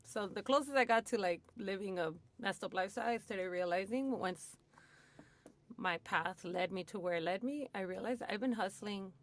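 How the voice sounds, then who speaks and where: A woman's voice: medium pitch, moderate pace. A woman from Hollywood, a guest in a radio studio, telling her story candidly and casually, with lots of "like" and "you know.". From Hollywood, USA. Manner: chatty, lots of "like" and "you know", laughs often. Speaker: guest in recovery.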